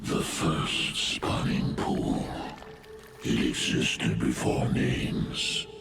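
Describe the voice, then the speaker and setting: An actor playing an ancient, colossal alien creature in a video game, a solemn and ominous sage with a gravelly growl. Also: male voice, rumbling low, heavily acted. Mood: solemn, commanding. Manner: slow, grave, portentous pronouncements. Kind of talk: video game cutscene acting. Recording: music behind the voice. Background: loud game score.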